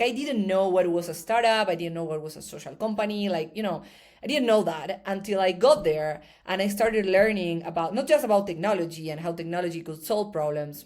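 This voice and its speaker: A woman in her thirties, speaking in a fairly low voice as a startup founder in an interview. She talks things through carefully, pausing with fillers and restarting her sentences as she goes. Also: a little mellow. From Argentina. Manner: thoughtful, many fillers and restarts. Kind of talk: conversational interview answer.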